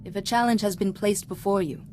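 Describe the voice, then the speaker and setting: Calm female Jedi mentor for a game, played by a woman: measured, formal, principled, mid pitch, faint ambience behind. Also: a little hiss or hum, lightly acted. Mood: serene, instructive. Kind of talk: scripted video game voice acting.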